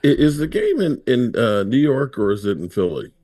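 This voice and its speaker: A man's voice: medium pitch, sing-song, normal speed, mellow, dry recording, studio quality. A man hosting a casual livestream chat, talking in a laid-back, conversational way. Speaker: livestream host.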